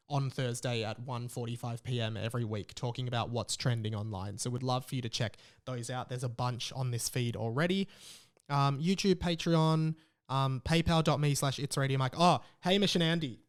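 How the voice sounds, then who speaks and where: A man's voice: medium pitch, normal speed, smooth. An Australian man hosting a solo podcast in a casual, rambling and informal way, with exasperated asides. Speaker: podcast host.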